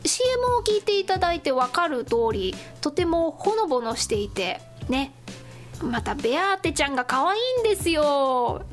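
A woman with a high voice hosts a solo radio-style show. She chats casually to her listeners as she goes through news and announcements. Music plays underneath her talk. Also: big pitch swings, normal speed.

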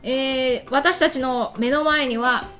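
A woman with a high voice hosts a casual radio show. She talks through cooking steps and chats with a playful, teasing edge. Light music plays behind her. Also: steady tone, slow, pushed and bright. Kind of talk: casual chat and cooking narration. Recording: background music under the voice. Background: background music.

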